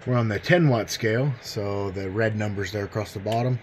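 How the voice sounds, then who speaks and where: Low-voiced man chatting casually, noisy background. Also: a little fast. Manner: folksy, informal enthusiast talk. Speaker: host of a CB radio demo channel. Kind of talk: casual product demonstration. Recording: clear background noise.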